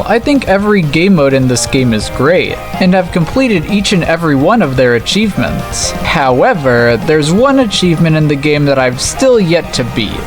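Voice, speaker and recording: Male gaming video essayist, slightly high voice, scripted narration with comic exasperation and swearing, music clearly underneath. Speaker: host of a gaming video channel. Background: background music.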